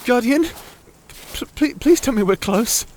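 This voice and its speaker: Man playing a high-voiced, stammering nerdy wizard. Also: animated, measured pace, darkish tone, slight background noise. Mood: shivering, whiny. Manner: stammering, hesitant, apologetic. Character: shy nerdy young male wizard, anxious, rambling. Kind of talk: character acting in fantasy audio roleplay.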